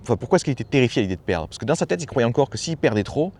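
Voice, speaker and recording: A man's voice: medium pitch, big pitch swings, very fast, a little mellow, slightly rough. A man giving a casual motivational talk as a personal development coach, familiar and slangy, hammering points home by repeating phrases.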